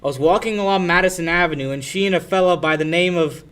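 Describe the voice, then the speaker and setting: A man's voice: high-pitched, quick pace, fairly bright tone, smooth. A young man playing a hapless, earnest young lawyer in a 1940s-style radio play, easily flustered. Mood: pleading. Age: young adult.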